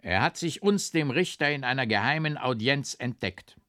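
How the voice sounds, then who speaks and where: A man's voice: medium pitch, unhurried, vocal fry, no echo, clean studio sound. A man voicing a sly, pompous village judge who speaks in grand, mock-formal tones. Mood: proclaiming. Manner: mock-formal, lively, declaiming.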